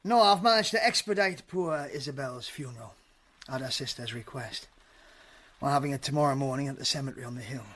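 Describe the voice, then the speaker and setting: An actor playing a timid, polite village vicar in a radio drama, hesitant and fluttery. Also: male voice, mid-range voice, a little fast, fairly bright tone, slight background noise, lightly acted. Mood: flustered politeness. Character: flustered, genteel male village vicar, guilty and timid.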